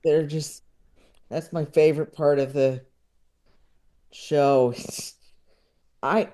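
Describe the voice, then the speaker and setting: A man talks at a slow pace in a relaxed, mellow tone. He is a guest in a casual interview, hesitant and rambling, often self-deprecating as he goes. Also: high voice. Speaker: podcast guest, comedy writer and filmmaker.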